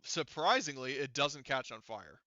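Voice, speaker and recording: A man's voice: mid-range voice, lively intonation, moderate pace, bright, forceful tone, muffled recording, a little hiss or hum. A man acting as game master in a casual tabletop game, narrating and working out rules aloud in an informal, sweary way. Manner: informal, profane.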